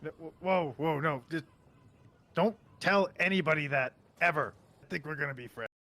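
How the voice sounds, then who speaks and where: A man's voice: fairly high pitch, moderate pace, pushed and bright. A man voicing an agitated, blunt adventurer who craves coffee, in tabletop roleplay. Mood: guarded.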